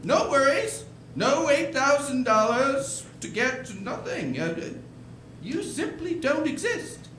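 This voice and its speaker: A man playing a gentle, eager old guardian angel in a 1940s-style radio play, hesitant, stammering and quaintly polite. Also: very high-pitched, big pitch swings, slow pace, echoey room, a little hiss or hum, full character voice. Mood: earnest, mysterious. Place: indoor stage performance.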